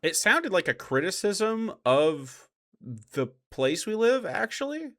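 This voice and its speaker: Animated, argumentative male streamer. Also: high-pitched, takes it slowly. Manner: argumentative, sarcastic, profane. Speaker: streamer reacting to a video. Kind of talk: casual live reaction commentary, arguing back.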